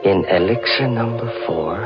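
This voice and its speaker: A man's voice: fairly low pitch, normal speed, natural voice. An actor playing a young male chemistry teacher who narrates his own story in a 1940s radio drama, earnest and suspenseful. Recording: muffled old radio recording with music.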